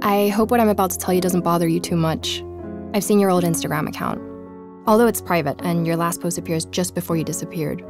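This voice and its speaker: An actress playing a determined woman journalist, narrating her podcast investigation in a measured, inquisitive way. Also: fairly low pitch, over music, natural voice. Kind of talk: scripted podcast narration in audio drama.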